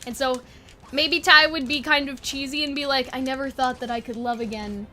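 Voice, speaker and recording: A woman's voice: sits high, fairly even intonation, brisk, bright, forceful tone. A woman chatting casually and informally, thinking aloud like a video creator brainstorming with fans. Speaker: online video creator and host. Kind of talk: casual fan brainstorming chat.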